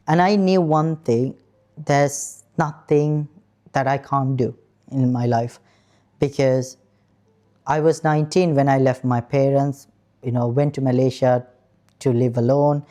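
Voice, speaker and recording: A man's voice: mid-range voice, mellow. A man in his thirties from Sri Lanka, an aeronautical engineer frankly telling his own story straight to the viewer. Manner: earnest, frank, addresses viewer directly. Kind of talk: personal story told to camera.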